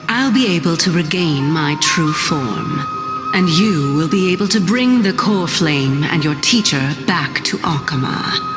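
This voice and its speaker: Slow, low-voiced playful goddess, echoing. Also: female voice. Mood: confident, amused. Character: ancient playful goddess of reason, composed and teasing. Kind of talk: video game character acting. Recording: long reverb, music behind.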